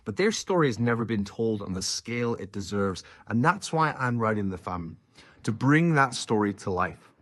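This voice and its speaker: A man's voice: on the low side, lively intonation, normal speed, a bit bright, no echo, clean studio sound. A man in his forties with a Northern Irish accent, an author talking earnestly about his work, with frequent ums and restarts. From Northern Ireland. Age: 40s. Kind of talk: direct-to-camera talk.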